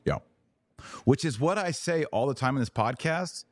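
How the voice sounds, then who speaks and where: A man's voice: medium pitch, expressive, moderate pace, no echo, studio quality. A man hosting a podcast, chatting casually and putting questions to a guest. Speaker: podcast host. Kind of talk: casual interview conversation.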